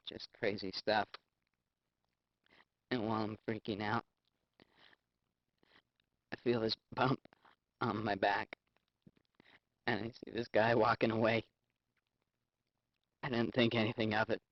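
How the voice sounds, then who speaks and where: A man's voice: fairly low pitch, flat delivery, slow pace, pauses often, no room sound, lo-fi sound. A man playing a shaken, injured patient who is short of breath, in a video game. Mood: shaken, hurting. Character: shaken injured man recounting being stabbed. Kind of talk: FMV game acting.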